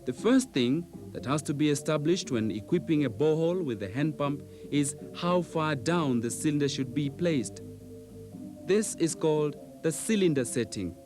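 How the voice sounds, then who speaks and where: Male instructional narrator, slightly high pitch, measured delivery, precise enunciation, old videotape soundtrack, light music behind. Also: expressive. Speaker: training film narrator. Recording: old VHS training film soundtrack.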